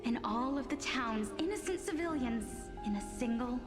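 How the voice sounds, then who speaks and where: A woman playing a storyteller in a game, narrating a solemn dark fable in a measured, theatrical way. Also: a little high, expressive, lightly acted. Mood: dark, dramatic. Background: game music.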